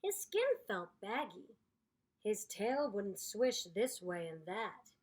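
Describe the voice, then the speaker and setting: A woman reading aloud to children in an expressive storytelling style, with deliberate, dramatic emphasis. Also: medium pitch. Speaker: storybook reader.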